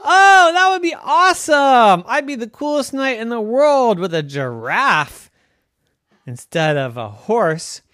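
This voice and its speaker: A bedtime storyteller voicing Wanda, a clumsy, cheerful would-be girl knight who squeals in high dismay. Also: very animated, slow, a bit bright, no room sound, studio quality. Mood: excitable.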